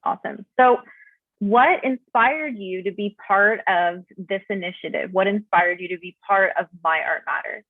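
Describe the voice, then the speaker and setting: A woman's voice: medium pitch, normal speed, dry recording. Woman interviewer, enthusiastic, effusive, tinny phone-like sound, dry. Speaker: interview host. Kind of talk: upbeat interview hosting. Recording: tinny phone-like video call audio.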